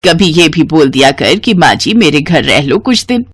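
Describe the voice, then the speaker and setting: A woman's voice: deep voice, animated, moderate pace, husky, no room sound, pitched below the performer's own voice. A woman voicing an elderly, chiding mother-in-law who speaks plainly and grumbles in a family story. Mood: reproachful. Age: older.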